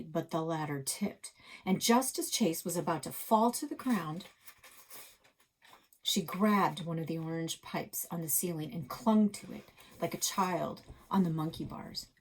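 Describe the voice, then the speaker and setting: Woman reading kids story aloud, lowish husky voice, real suspenseful narration. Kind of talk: dramatic children's story narration.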